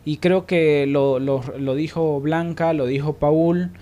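A man's voice: fairly high pitch, fairly even intonation, takes it slowly, warm tone. A man hosting an unscripted radio panel, playful and probing, repeating words for emphasis. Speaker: radio talk show host.

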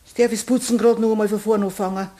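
A woman's voice: medium pitch, fairly even intonation, very fast, warm tone, close to an everyday voice. A woman playing a flustered, grumbling cleaning woman with a Bavarian accent in a German radio play, exclaiming and muttering. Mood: indignant, flustered.

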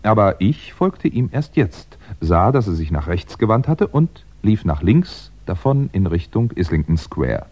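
An actor playing a wry, understated fugitive crime writer in a 1960s German radio play. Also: male voice, fairly low pitch, sing-song, unhurried, hardly any pauses, darkish tone, slightly rough, full character voice. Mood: wry, recounting. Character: fugitive crime writer, dry, weary, polite man on the run. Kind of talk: 1960s German radio play acting and first-person narration. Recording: dull, noisy 1960s radio recording.

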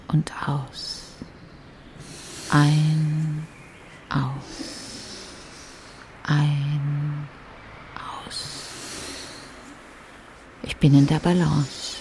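An actress playing a wife absorbed in her yoga, speaking in a breathy, hushed voice. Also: sits low, a little hiss or hum, full character voice. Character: self-absorbed wife intently meditating through yoga.

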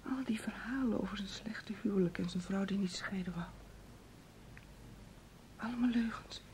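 A woman's voice: normal speed, a little mellow, natural voice. An actress playing an indignant, wounded woman in a Dutch radio play, challenging and disbelieving. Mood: stunned, hurt. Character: indignant, wounded woman deceived by her lover. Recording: slightly noisy, rumbling old radio recording.

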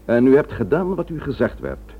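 An actor in a Dutch radio drama playing a stern, gruff police commissioner with a curt, authoritative manner. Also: male voice, medium pitch, wildly swooping pitch, normal speed, dull audio. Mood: firm, controlled. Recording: noisy, thin old radio recording with hum.

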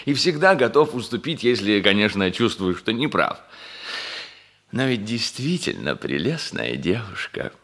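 An actor playing a fussy, indecisive bachelor major of fifty-six in a 1960s Soviet radio comedy, hesitant and given to theatrical sighs. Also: male voice, on the low side, very animated, unhurried, fairly bright tone, full character voice. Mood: self-satisfied. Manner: hesitant, echoing others, sighing and groaning theatrically.

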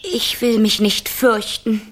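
A plucky teenage princess in a radio fairy tale. Her voice is high and youthful, gentle and trusting, and she takes her time over the words. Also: steady tone, slow, slight background noise. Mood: hopeful, resolute. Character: dreamy, plucky fifteen-year-old princess.

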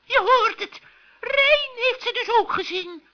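A man's voice: very high voice, slow, pitched above the performer's own voice. A man voicing a sly old female forest witch in a children's radio play, theatrical and suspicious. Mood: insistent. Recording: dull, lo-fi old recording.

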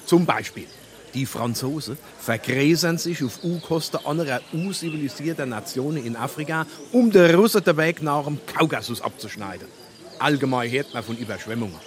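A man's voice: fairly high pitch, animated, moderate pace, lightly acted. Pompous Hessian know-it-all, acted by a man, swooping voice. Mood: pompous lecturing. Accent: Darmstadt Hessian. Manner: pompous, lecturing. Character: self-satisfied father, pompous newspaper-obsessed amateur politician. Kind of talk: dialect stage comedy acting.

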